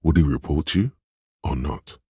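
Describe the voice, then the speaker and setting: A sly inner voice from a video game, extremely low and thickly husky. He coaxes and insinuates in measured, breathy phrases, like a manipulator advising from inside the head. The sound is close and dry. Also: mellow, dry recording, heavily acted. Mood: knowing, measured. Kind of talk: scripted video game voice acting.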